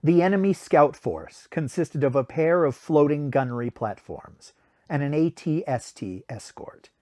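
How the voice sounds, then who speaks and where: A man's voice: fairly high pitch, lively intonation, a little slow, a little mellow, no echo. A man who hosts a podcast, speaking in the style of an amateur audiobook narrator in a close home recording. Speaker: podcast host reading a novel excerpt.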